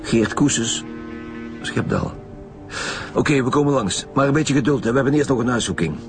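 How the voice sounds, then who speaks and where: An actor playing an authoritative, wry Flemish chief homicide detective in a radio crime drama. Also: male voice, mid-range voice, normal speed, music in the background, lightly acted. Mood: businesslike.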